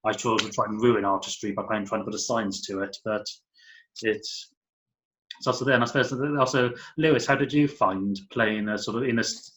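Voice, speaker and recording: A man's voice: fairly low pitch, fairly even intonation, brisk, a bit bright, low-quality recording. A man hosting a casual interview, thinking aloud in a tentative way and putting questions to guests. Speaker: host and interviewer. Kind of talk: casual chat.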